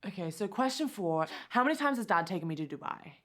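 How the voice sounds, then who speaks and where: An actress playing a vain, self-satisfied nineteen-year-old quizmaster with a gushing manner in an interactive film. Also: female voice, medium pitch, big pitch swings, fast, a bit bright.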